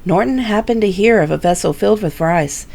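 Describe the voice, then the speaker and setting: A woman's voice: mid-range voice, a little fast, vocal fry, a little mellow. A woman hosting with formal, theatrical scripted storytelling narration. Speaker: host and narrator.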